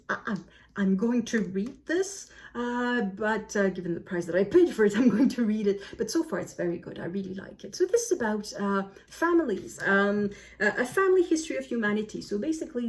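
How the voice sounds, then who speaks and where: A woman book vlogger chatting casually to camera in an animated, self-deprecating way. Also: fairly high pitch.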